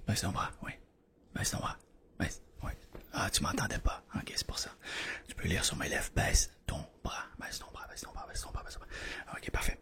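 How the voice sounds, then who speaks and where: A man doing a hushed ASMR doctor roleplay, guiding the listener patiently and reassuringly. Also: normal speed. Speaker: ASMR creator playing a doctor. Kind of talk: whispered ASMR medical roleplay.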